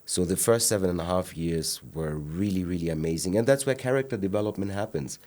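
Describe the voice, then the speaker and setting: A man in his forties with a Kenyan accent and a notably deep, low-pitched voice. He tells his life story as a podcast guest, animated and playful, acting out scenes from his past. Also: fairly quick. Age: 40s. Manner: animated storyteller, acts out his younger self. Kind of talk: casual interview storytelling.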